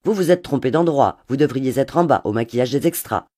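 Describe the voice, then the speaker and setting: A woman's voice: mid-range voice, animated, normal speed, dark tone, no echo, studio quality, voice pushed up, natural voice. A woman voicing a brusque, dismissive male Hollywood make-up chief in an audiobook, curt in manner. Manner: curt, brutal.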